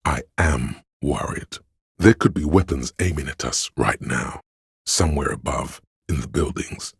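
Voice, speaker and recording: An actor voicing a grave, watchful, wry inner voice of police loyalty in a video game, with a gravelly, husky bass and measured, solemn storytelling. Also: male voice, lively intonation, no room sound, studio quality, heavily acted. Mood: solemn, dry. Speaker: game narrator. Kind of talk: scripted literary narration.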